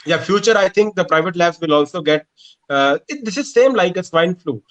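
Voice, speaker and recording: A man, a doctor from India, answering questions haltingly in a hoarse voice. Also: high-pitched, expressive, a bit bright. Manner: halting, searching for words. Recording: dull lo-fi call audio.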